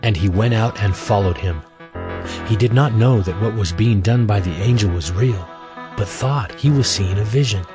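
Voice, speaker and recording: A man giving a scripted, solemn and measured narration for an audio drama of scripture. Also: on the low side.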